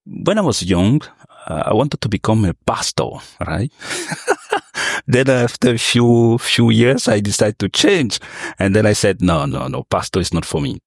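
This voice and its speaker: Deep-voiced man from Cameroon, hesitant. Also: animated, a little slow, gravelly, no room sound, clean studio sound. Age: young adult. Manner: hesitant, many fillers and restarts, non-native phrasing. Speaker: interview guest, sales professional. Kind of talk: casual storytelling interview.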